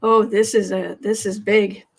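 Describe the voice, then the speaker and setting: An American woman hosting a casual tarot livestream, chatting haltingly as she comments and reads out viewer messages, often repeating her words. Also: mid-range voice, level tone, gravelly, slight background noise. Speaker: host of a live tarot and astrology show.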